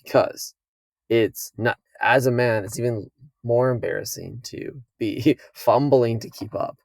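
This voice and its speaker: A young man co-hosting a podcast, hoarse and croaky from losing his voice, chatting casually and joking. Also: mid-range voice, unhurried, no echo. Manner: joking, laid-back, laughs often. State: hoarse, lost voice. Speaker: podcast co-host. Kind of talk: casual chat.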